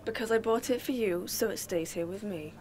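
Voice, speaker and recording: A woman's voice: mid-range voice, fairly quick, darkish tone, close to an everyday voice, low-quality recording. A young woman playing a clingy, lonely mother, stubborn and needy, in radio-play drama. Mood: stubborn, wounded. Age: young adult. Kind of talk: low-key dramatic radio-play dialogue.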